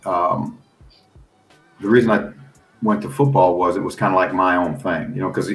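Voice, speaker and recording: A middle-aged American man, a football coach and analyst, telling stories in an animated, folksy way and laughing along. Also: sits low, normal speed, vocal fry, echoey room, faint music behind, poor audio. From United States. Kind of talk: casual storytelling on a podcast.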